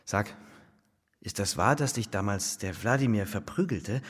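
Penniless dreamer in an echoing space. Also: male voice, lowish voice, a little slow, slightly rough. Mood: bitter, insistent. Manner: philosophical, impassioned, wry. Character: penniless dreamy garret lodger, wry and despairing. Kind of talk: German radio play acting. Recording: long reverberant room.

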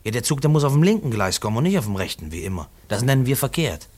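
A man's voice: medium pitch, very animated, fast talker, darkish tone. An actor in a German radio play, playing a weary railway gatekeeper in his thirties, humble and talkative, hesitant and colloquial. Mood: eager, explaining. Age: 30s. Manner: hesitant, colloquial, explains with small sound imitations.

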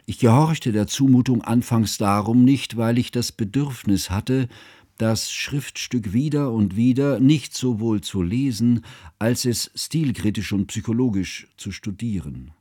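An actor playing an educated male scholar who narrates his friend's life in a measured, formal, pedantic way for a literary radio drama. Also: mid-range voice, warm tone, slightly rough, no echo, clean studio sound. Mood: scholarly, measured.

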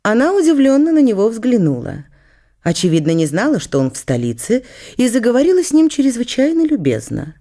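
A woman's voice: on the high side, sing-song, fairly quick, dark tone. A woman narrating a historical radio drama in a dramatised literary style.

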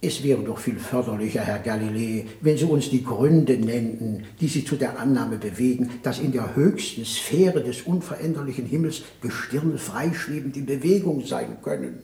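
An actor playing a dryly skeptical, pedantic court mathematician in a 1960s German radio play, measured and politely evasive. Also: male voice, medium pitch, lively intonation, warm tone, reverberant, lightly acted.

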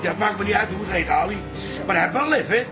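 A man's voice: high-pitched, animated, fast talker, over music. An actor playing a blunt, penny-pinching older father in a pub, grumbling colloquially in a radio drama.

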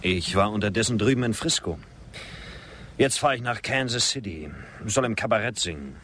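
An actor playing a wistful former poet and cabaret singer in a German radio drama, measured, reflective and gently ironic. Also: male voice, fairly low pitch, lively intonation, a little hiss or hum. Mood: wry.

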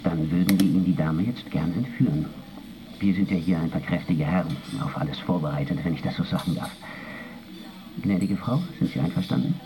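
An actor playing a burly, self-assured West German go-between in a radio crime drama, exaggeratedly courteous, like a gallant host. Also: male voice, sits low, fairly quick, lightly acted, poor audio. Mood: gallant assurance.

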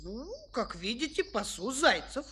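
A woman's voice: on the high side, sing-song, measured pace, pushed and bright, slight background noise, exaggerated voice. A woman playing a sly, good-natured young shepherd lad in a children's audio play, simple and politely drawling. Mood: slyly polite.

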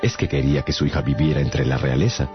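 An actor playing an earnest adventurer who recounts his ordeals in expressive, suspenseful first-person radio drama narration. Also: rumbling low, fast talker. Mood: reflective. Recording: dull radio drama, loud music behind.

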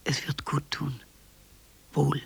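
A woman playing a very old, wistful visionary in a radio drama monologue, husky and gravelly, speaking in incantatory, repeated fragments. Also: low voice, monotone, slow pace, heavily acted. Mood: wistful, solemn. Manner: incantatory, fragmented phrases with repetitions.

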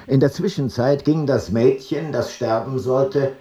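An actor playing an ancient traveller who gives a measured, detached eyewitness account in a literary radio play. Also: male voice, medium pitch, warm tone, breathy edge, no room sound. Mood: sober, observant. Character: sober ancient traveller reporting a foreign funeral rite.

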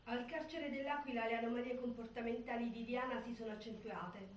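An actress playing a composed, professional prison psychiatrist, speaking politely and with measure on stage. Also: female voice, fairly high pitch, steady tone, brisk, natural voice. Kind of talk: theatrical monologue. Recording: echoey stage from far off, faint noise.

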